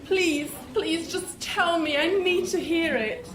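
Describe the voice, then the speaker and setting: An actress playing a frightened, desperate daughter in an audio drama, tearful, distressed and pleading. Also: female voice, very high voice, slow, a bit bright, exaggerated voice. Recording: echoey room with faint noise.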